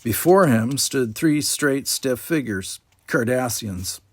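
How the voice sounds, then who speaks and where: A man reading a story aloud as an expressive audiobook narrator. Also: medium pitch, dry recording. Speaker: amateur audiobook reader.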